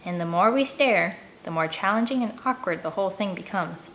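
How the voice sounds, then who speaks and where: A woman's voice: medium pitch, animated, a little fast, a bit bright, slight background noise, rough recording. A woman reading a first-person children's novel aloud as an audiobook, telling the story expressively. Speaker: audiobook reader.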